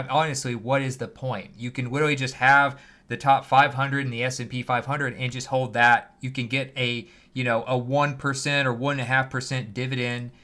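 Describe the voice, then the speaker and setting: A man's voice: mid-range voice, sharp tone. A man giving conversational finance commentary as a host, in an opinionated, plain-spoken, emphatic way. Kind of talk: conversational stock market commentary.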